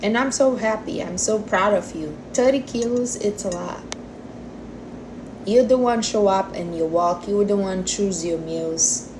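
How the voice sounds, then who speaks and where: Female, forty, livestream host. Unhurried, mid-pitched, casual chat with non-native phrasing. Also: background noise. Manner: informal, non-native English phrasing, addresses listeners as honey and guys. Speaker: livestream host answering viewer questions on diet.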